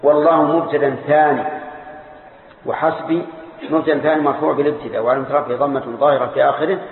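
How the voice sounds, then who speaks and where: A man teaching a classical grammar lesson, parsing each word slowly and deliberately. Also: a little high, steady tone, a little hiss or hum. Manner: slow, deliberate parsing, drawing out key words. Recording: echoey hall over a tinny lo-fi line.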